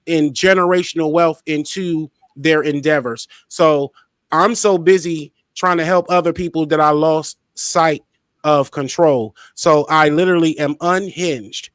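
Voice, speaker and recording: Man, outspoken, playful, interview host, higher voice. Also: fairly even intonation, measured pace, a bit bright, no room sound, studio quality. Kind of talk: casual interview chat.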